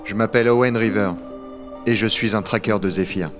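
Man acting as low-voiced rugged dragon hunter. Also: steady tone, a little fast, music in the background, lightly acted. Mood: grave, reflective. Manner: gravely reflective narration, familiar offhand banter. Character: rugged, wry male dragon hunter in a frozen future. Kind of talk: French audio-fiction acting and first-person narration.

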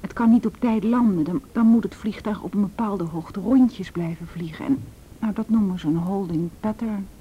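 Actress as mellow, gentle, anxious young woman. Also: moderate pace, hardly any pauses, husky, lo-fi sound, close to an everyday voice. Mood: gentle, confiding. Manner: hesitant, soft, intimate. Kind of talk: Dutch radio play acting. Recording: faintly noisy radio recording with rumble.

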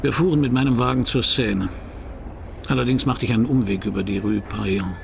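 An actor playing a wry, world-weary private detective who narrates a crime radio play in a dry, laconic, gravelly voice. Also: male voice, mid-range voice, fairly quick, background noise. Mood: wry narration.

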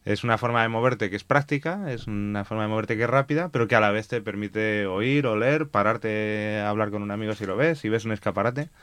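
A man's voice: fairly low pitch, a bit bright, clean tone, dry recording. A man from Madrid, a guest on a radio talk show who speaks in a blunt, emphatic way. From Madrid, Spain. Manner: fast, blunt and emphatic, speaks his mind. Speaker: guest, cycling advocate.

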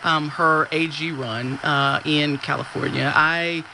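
A male campaign advisor giving an interview, speaking in a measured way with many fillers and restarts. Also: fairly high pitch, fairly even intonation, creaky, background noise. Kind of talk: on-the-street interview.

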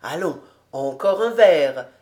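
A woman reads as a generous gentleman in a storybook, low and slow. He is tipsy and fond, coaxing and murmuring as he insists. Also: expressive. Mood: tender, merry. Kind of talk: audiobook storytelling.

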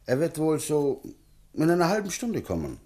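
A man's voice: a little high, wildly swooping pitch, normal speed, darkish tone. An actor playing a courteous, helpful male police sergeant in a German radio mystery drama.

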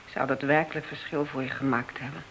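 A woman's voice: a bass voice, flat delivery, brisk, fairly bright tone, a little hiss or hum, rough recording. An actress playing the bitter, wounded wife of a policeman in a radio play, terse and reproachful. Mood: bitter, accusing.

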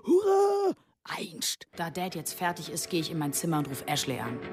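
A woman's voice: lowish voice, huge pitch swings, normal speed, over loud music. A woman playing a rebellious, sarcastic teenage girl in a radio drama, telling her story in a confiding, dramatic way. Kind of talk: radio drama narration and dialogue.

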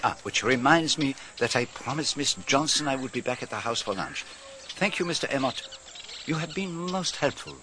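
A man's voice: mid-range voice, expressive, normal speed, noisy background, lightly acted. A man playing a courteous, inquisitive detective with a French-speaking European accent and formal, quaint phrasing in a radio drama. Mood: probing, polite.

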